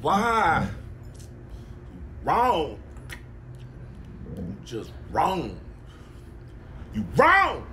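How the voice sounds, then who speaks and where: A man's voice: wildly swooping pitch, drawn-out words, pauses often, sharp tone, slight background noise. A man doing comedic voiceovers for pets, talking in a goofy, slangy, exaggerated way. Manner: goofy, exaggerated, wildly swinging pitch, slangy drawl. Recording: voiceover mixed with music.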